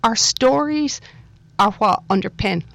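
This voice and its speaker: Woman host, lowish pitch, noticeable vocal fry, close dry sound, gentle conversational interviewing. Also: expressive, slow pace, dry recording.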